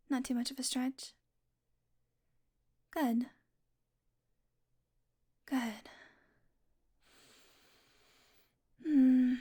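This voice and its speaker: A woman's voice: high-pitched, warm tone, exaggerated voice. A woman voicing a teasing, possessive vampire who dotes on her prey, speaking intimately and smugly to the listener in an audio roleplay. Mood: coaxing, commanding. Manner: smug, flirtatious teasing with mock annoyance.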